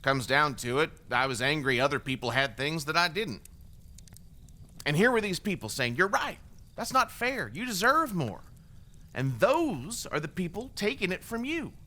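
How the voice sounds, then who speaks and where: A man's voice: fairly high pitch, big pitch swings, moderate pace, bright, forceful tone. An actor playing a remorseful, bitter former extremist gunman giving testimony in an audio drama, in a blunt, wry, profane conversational way.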